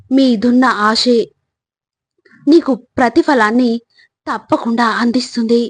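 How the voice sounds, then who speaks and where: Higher-pitched woman narrating motivational quotes, dry sound. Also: no room sound. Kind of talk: scripted inspirational narration.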